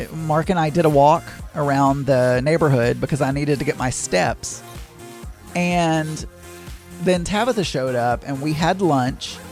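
A man with a Southern US accent talks casually to his viewers, drifting from one thing to the next and correcting himself mid-sentence. His voice sits a little high for a man. Soft music plays faintly behind him. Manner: rambling, chatty, frequent self-corrections. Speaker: vlogger. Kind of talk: casual chat.

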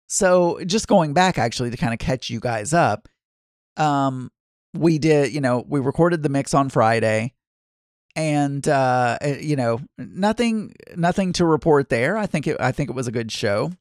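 A man's voice: fairly high pitch, no room sound, studio quality. A man with a Southern accent chatting casually to viewers, rambling and often correcting himself mid-sentence. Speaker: vlogger. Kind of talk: casual chat.

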